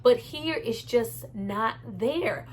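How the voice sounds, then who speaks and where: A woman's voice: fairly high pitch, animated, takes it slowly, a little mellow, a little hiss or hum. A woman giving a casual, opinionated movie review in a candid, conversational and emphatic way. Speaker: film reviewer and host.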